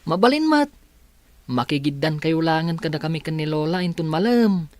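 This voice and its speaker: A man's voice: fairly high pitch, lively intonation, moderate pace, a little mellow, no echo, close to an everyday voice. A man playing a kind, eager young student in an Ilocano radio drama, with an Ilocano accent. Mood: matter-of-fact.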